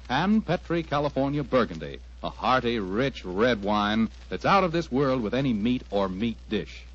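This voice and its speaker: A man announcing a sponsor spot on 1940s radio. His husky voice moves widely in pitch, with polished, folksy salesmanship. The old recording is muffled. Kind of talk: 1940s radio advertising read.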